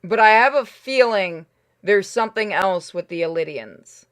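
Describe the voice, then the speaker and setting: A casual game streamer with a mid-range voice. The talk is blunt and sweary, working through decisions out loud. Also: medium pitch, lively intonation, moderate pace, smooth. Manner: blunt, deliberating aloud, sweary. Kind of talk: casual gameplay commentary.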